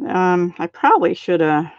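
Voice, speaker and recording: A soft-spoken middle-aged woman with a lowish voice talks through a card-making demo while she works. Her audio is dry and a little dull, like a home stream mic. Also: very animated, normal speed, no echo. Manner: soft-spoken, thinks aloud while working. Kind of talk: casual live craft demo, chatting with viewers.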